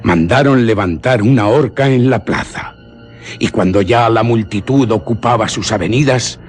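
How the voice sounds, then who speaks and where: A man narrating a suspense radio story in a solemn, deliberate manner. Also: mid-range voice, faint music behind.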